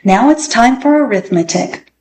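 A woman's voice: fairly high pitch, animated, normal speed, a little mellow, a little hiss or hum, pitched above the performer's own voice, close to an everyday voice. A woman voicing a firm, matter-of-fact female schoolteacher in a children's story. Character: firm, unflappable female primary school teacher.

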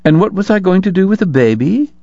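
A male narrator becomes a young woman hardened by frontier life, confessing in a Western novel. The voice is lifted high and sounds defiant yet pleading. The recording is dry and slightly muffled. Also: lively intonation, fast talker, warm tone, no room sound. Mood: desperate, pleading. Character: hard-bitten young frontier women confessing sins.

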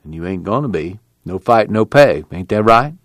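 A man doing a calm earnest camp leader. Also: fairly low pitch, moderate pace, no room sound. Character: patient middle-aged male camp leader.

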